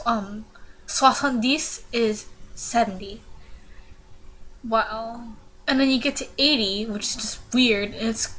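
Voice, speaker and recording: A teenage girl vlogging casually, giggling awkwardly and filling pauses with lots of ums. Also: a little high, fairly bright tone, slight background noise, poor audio. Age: teens. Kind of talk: casual informative vlog.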